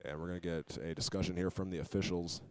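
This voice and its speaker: A man's voice: low voice, level tone, quick pace. An American man calling a basketball game live as a play-by-play announcer, describing each move as it happens. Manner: running present-tense description of each move.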